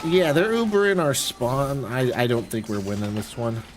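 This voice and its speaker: A man's voice: medium pitch, very animated, normal speed, background noise. A man streaming a video game, giving casual live commentary with mild, exclamatory grumbling. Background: video game sounds.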